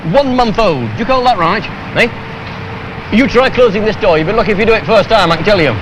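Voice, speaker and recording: An indignant British car owner in a 1970s training film, high-voiced and quick. He gripes sarcastically, thoroughly exasperated. The old film sound is clearly noisy. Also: animated. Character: exasperated male car owner with endless complaints.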